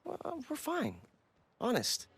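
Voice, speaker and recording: A man's voice: very high-pitched, huge pitch swings, takes it slowly, a bit bright, husky, heavily acted. A man playing an eager, flippant boy adventurer in a game cutscene, casual and cheeky. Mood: defensive.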